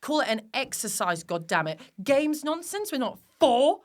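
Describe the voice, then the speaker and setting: A woman's voice: high voice, big pitch swings, normal speed. A British woman speaking as a comedian guest in a casual interview, rambling and emphatic, with some swearing. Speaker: clown performer and teacher.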